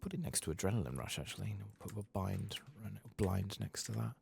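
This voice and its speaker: A soft-spoken man muttering playful, sweary, self-mocking ASMR commentary as he hosts a video game playthrough. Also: fairly low pitch, animated, fairly quick, gravelly, slight background noise. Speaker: host of a gaming playthrough channel.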